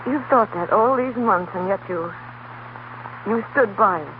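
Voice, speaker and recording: A woman's voice: medium pitch, a little slow, natural voice. An actress playing an earnest, anguished young woman schoolteacher, intense and emotional in 1930s radio drama style. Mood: sad, confessing. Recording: noisy, tinny 1930s radio broadcast.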